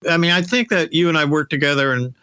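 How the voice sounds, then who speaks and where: Male producer-host, bright forceful voice a bit high, close dry sound, loose anecdotal shop talk. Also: fast talker, clear, resonant tone, no room sound. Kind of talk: casual shop-talk conversation.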